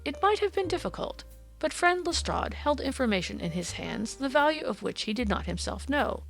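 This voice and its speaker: A woman voicing a brisk, courteous Victorian male detective in an audiobook, precise, formal and explanatory in manner. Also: very high-pitched, big pitch swings, vocal fry, a bit bright, soft background music, exaggerated voice. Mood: explanatory, assured.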